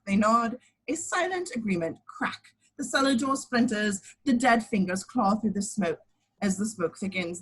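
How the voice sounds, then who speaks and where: A woman narrates the stage action of a script. Her voice is somewhat husky, and she reads the descriptions as scripted narration at a table read. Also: medium pitch, fairly bright tone, poor audio.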